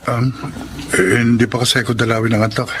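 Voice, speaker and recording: A man's voice: mid-range voice, level tone, quick pace, noisy background, lightly acted. A Filipino man acting a devoted, wounded husband and father in a radio drama, earnest and heartfelt. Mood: wistful, loving. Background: music.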